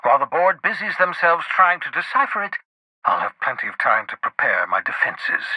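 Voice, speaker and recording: An actor voicing an eccentric, erudite older renegade scientist in a video game, jittery and sardonic, with theatrical, wordy, precise delivery. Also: male voice, on the high side, very animated, normal speed, bright, forceful tone, husky, no room sound, exaggerated voice, rough recording. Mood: sardonic, scheming. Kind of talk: video game character dialogue.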